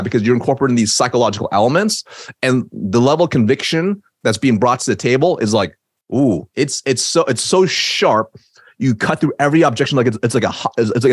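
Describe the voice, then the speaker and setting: Man in his 30s, sales trainer on a video call; rapid, emphatic delivery with an occasional stutter, close studio mic, dry sound. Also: medium pitch, breathy edge, no room sound. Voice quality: stutters at times. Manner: energetic, emphatic, gestures while talking. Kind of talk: webinar teaching. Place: home office with bookshelves. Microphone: studio mic on boom arm, video call.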